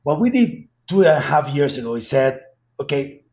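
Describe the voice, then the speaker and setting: A man's voice: on the high side, sing-song, normal speed, a little mellow, lo-fi sound. A man from Colombia with a Colombian Spanish accent, answering interview questions earnestly and emphatically, often repeating words. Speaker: guest, cannabis company founder.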